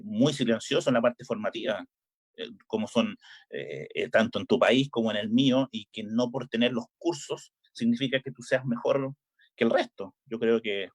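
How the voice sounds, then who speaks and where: Middle-aged Chilean coach, speaking in a relaxed interview, halting and full of fillers. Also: male voice, mid-range voice. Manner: hesitant, many filler "eh"s and restarts. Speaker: volleyball coach.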